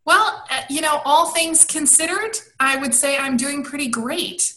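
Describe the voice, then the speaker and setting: A woman in her thirties, a style coach, answering interview questions in a chatty way with many pauses and ums. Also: high voice, sharp tone. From grew up abroad and in Maryland, US. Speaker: guest, personal style coach. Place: home in Maryland.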